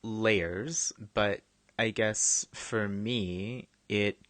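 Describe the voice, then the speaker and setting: Low-voiced young man, dry sound. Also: a bit bright, no echo. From China. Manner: halting, many restarts, laughs easily. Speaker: podcast co-host. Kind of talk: casual podcast chat.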